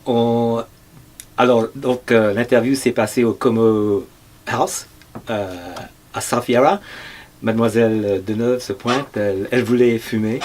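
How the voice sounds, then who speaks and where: A man's voice: mid-range voice, steady tone, a little slow, darkish tone, slight background noise. A man from Mauritius who works as a radio journalist, casually telling an anecdote about an interview.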